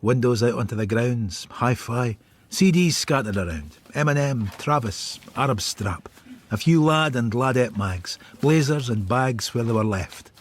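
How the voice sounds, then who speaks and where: A brooding Scottish detective telling his own story in a radio drama. His voice is husky and mid-pitched, and he speaks in terse, sombre, observant fragments. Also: animated, unhurried. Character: brooding, world-weary male detective narrating. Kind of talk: first-person radio drama narration.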